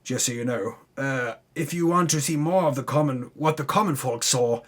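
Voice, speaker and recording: A man's voice: mid-range voice, moderate pace. A man giving a dramatic, mock-epic narration of a fantasy campaign recap, grandiose in delivery with dry, tongue-in-cheek asides. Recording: music under the voice. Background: background music.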